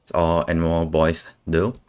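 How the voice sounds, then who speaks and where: A man's voice: sits low, completely flat delivery, normal speed, a little mellow, smooth. A man streaming a game, muttering short casual reactions and mild swearing. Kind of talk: casual live stream commentary.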